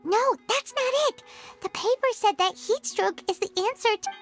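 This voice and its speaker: A woman voicing a tiny, excitable, childlike fairy companion in a video game, squeaky and fretful. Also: moderate pace. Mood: puzzled, hesitant. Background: game music.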